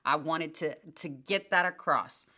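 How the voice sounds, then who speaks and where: An older woman co-hosting a casual church podcast, giving plainspoken, motherly advice in a fairly monotone voice. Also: low-pitched, a little slow. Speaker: podcast co-host.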